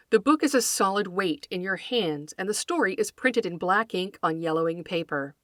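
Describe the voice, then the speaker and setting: A woman podcast host reading scripted educational narration in a measured, articulate, scholarly manner, close to the microphone. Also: fairly low pitch, fairly bright tone, no room sound. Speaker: podcast host, folklore scholar and fiction writer.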